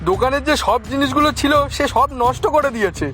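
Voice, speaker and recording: A man playing a concerned village bystander in an animated story, talking in a gossipy, matter-of-fact way. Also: very high voice, fast talker, soft background music. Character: concerned male village bystander spreading bad news.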